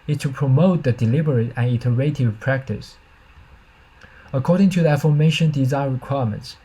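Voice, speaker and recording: Husky, mellow man presenting research. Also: medium pitch, poor audio. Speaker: researcher presenting own system. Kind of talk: scripted academic presentation narration.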